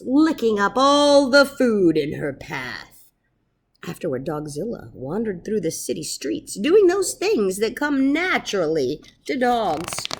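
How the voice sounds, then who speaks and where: A woman reading a children's story aloud as narrator, dramatic and expressive. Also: medium pitch, breathy edge. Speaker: storybook reader. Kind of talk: animated read-aloud narration.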